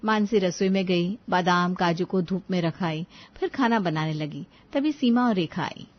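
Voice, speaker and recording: A woman's voice: fairly low pitch, moderate pace, lo-fi sound. A woman reading a scripted family story as its narrator.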